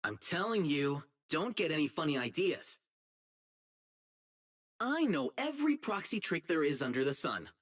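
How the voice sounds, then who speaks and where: A man voicing an earnest, righteous young public security officer in a video game, dutiful and excitable, with dramatic delivery. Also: on the high side, measured pace, pauses often. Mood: businesslike, suspicious. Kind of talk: isolated game character voice lines, dramatic acting.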